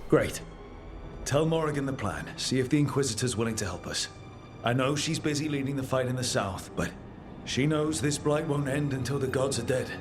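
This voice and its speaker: Male game hero, played by an actor: average pitch, earnest, resolute, firm and encouraging leadership. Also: normal speed, over music, natural voice. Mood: determined, commanding. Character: steady, resolute male leader rallying his team. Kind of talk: cinematic video game voice acting.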